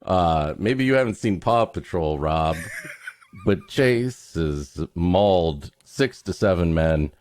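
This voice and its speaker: Low-voiced, animated man reading stories. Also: takes it slowly. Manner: joking, playful. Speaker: comedy podcast host reading an old story. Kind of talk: story reading with comic banter.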